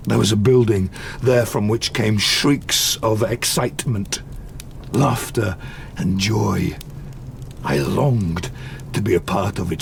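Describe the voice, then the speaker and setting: A man playing a lonely, wounded, eloquent monster in an audio drama, speaking slowly and solemnly. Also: fairly low pitch, vocal fry, a little hiss or hum. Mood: solemn, remembering. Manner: slow, deliberate, solemn storytelling.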